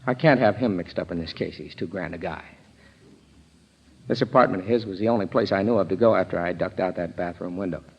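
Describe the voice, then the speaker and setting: A husky, fairly low American man's voice on a dull old radio recording, as an ex-convict on the run. He is a desperate but protective figure from a crime drama. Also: brisk, heavily acted. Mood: subdued, protective. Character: hunted, desperate male ex-convict, protective of his pal.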